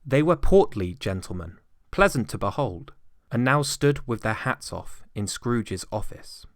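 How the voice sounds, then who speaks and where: A man's voice: mid-range voice, animated, unhurried, no echo, studio quality. A British man reading a classic story as a dramatic, scripted narration. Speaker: actor reading an audiobook.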